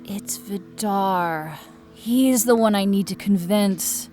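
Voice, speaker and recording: A woman's voice: mid-range voice, slow, warm tone, soft background music, lightly acted. A woman playing a proud but wounded, vulnerable goddess queen, speaking earnestly and emotionally in an audio drama. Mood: uneasy.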